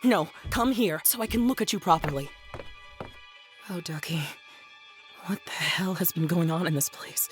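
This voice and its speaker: A woman's voice: on the low side, animated, moderate pace, pauses often, lightly acted. A woman playing an insistent, protective woman, faint music. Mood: alarmed, demanding. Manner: urgent, insistent, demanding. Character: protective, forceful, sweary woman, fiercely determined. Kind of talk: audio drama acting.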